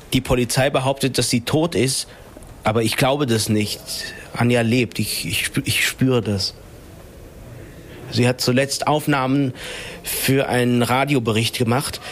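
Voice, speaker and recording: An actor in a naturalistic radio crime drama playing an earnest boyfriend of a missing woman, speaking haltingly. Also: medium pitch, a little hiss or hum, close to an everyday voice.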